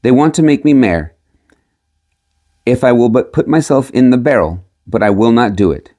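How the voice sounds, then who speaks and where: A man voicing a sly peasant trickster in a storybook, with a measured, cunning delivery. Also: mid-range voice, expressive, dark tone, close to an everyday voice. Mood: loud protesting. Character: sly male peasant trickster selling prophecies.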